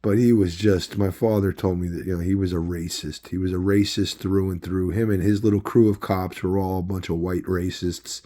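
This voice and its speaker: A man who is losing his voice, telling a long personal story in a rambling, halting way with many fillers. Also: deep voice, warm tone. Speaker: video host. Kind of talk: casual personal storytelling to camera.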